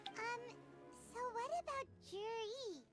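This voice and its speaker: A woman voicing a small, childlike, excitable and curious floating companion creature in a video game. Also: slow. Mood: curious, eager. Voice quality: squeaky childlike voice. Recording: loud game music behind.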